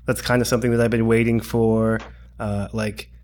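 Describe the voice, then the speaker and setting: A man's voice: on the low side, flat delivery, moderate pace, a little mellow. A man co-hosting a tech podcast, chatting casually in a hesitant, rambling way that hedges as he goes. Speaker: podcast co-host.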